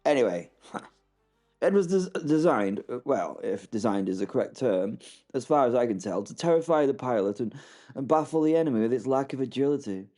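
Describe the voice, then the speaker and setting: Man as plucky upper-class English WWI pilot, narrating; mellow mid-pitched voice, rambling restarts. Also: animated, normal speed, soft background music, lightly acted. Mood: wry. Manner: rambling, self-deprecating, stammers and restarts. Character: plucky young British WWI pilot, future wing commander. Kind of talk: first-person audio drama narration.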